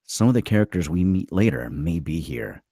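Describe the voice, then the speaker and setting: A man narrating a screenplay at a table read, with a gravelly, husky voice. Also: deep voice, very animated, moderate pace, mellow, dry recording, studio quality.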